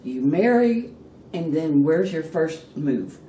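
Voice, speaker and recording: Lively low-voiced woman interviewer. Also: slow. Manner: lively, playful, prompts with questions. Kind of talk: casual conversational interview. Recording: roomy, distant mic with background noise.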